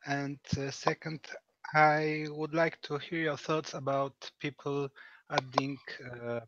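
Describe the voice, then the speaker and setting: A man from Poland whose first language is Polish, calling in and pausing often as he searches for words. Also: a little high, little pitch movement, fairly bright tone. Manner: hesitant, searching for words with many pauses. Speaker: caller. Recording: muffled call audio with background noise.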